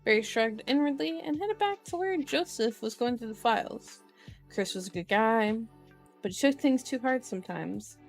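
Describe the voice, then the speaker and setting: A woman's voice: on the high side, lively intonation, normal speed, vocal fry. Woman in casual ASMR reading, music behind. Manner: playful, informal asides, self-correcting while reading. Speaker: streamer, reader. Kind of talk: ASMR book reading with casual chat to viewers. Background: background music.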